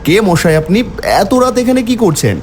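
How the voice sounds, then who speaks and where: A man's voice: high voice, big pitch swings, moderate pace, soft background music. A man narrating a cartoon horror story in the first person, theatrical and exclamatory when voicing the dialogue.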